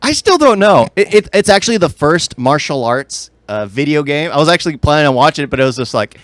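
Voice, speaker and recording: A man's voice: a little high, very animated, fast, dry recording. Casual young man podcaster, dry close sound. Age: young adult. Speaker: podcast co-host. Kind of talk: casual movie chat.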